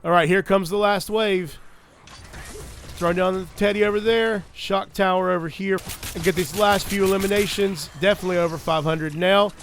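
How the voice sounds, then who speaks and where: A man's voice: sits high, fairly even intonation, normal speed, slight background noise. A young man, getting over a cold, gives a chatty, earnest voice-over about his gameplay as a gaming creator. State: recovering from a cold. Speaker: gaming YouTuber. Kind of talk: casual gameplay commentary and loadout guide.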